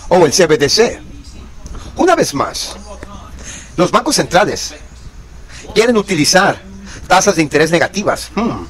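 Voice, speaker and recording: A man's voice: high-pitched, poor audio. A man in his thirties preaching and commenting on news, emphatic, repeating key words and asking rhetorical questions, recorded indoors facing a camera. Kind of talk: religious commentary reading news. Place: indoor room with pale wall. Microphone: fixed camera, mid shot.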